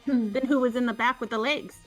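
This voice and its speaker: A woman's voice: a little high, fairly even intonation, fairly quick, highs cut off, faint music behind. A woman playing a game with friends, curious and throwing out theories as questions. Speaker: player in a group playthrough. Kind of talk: casual chat with friends.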